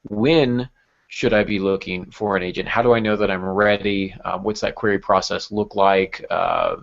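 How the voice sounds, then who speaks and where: Gravelly male podcast host, lo-fi audio. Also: low-pitched, steady tone, moderate pace. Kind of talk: casual interviewing.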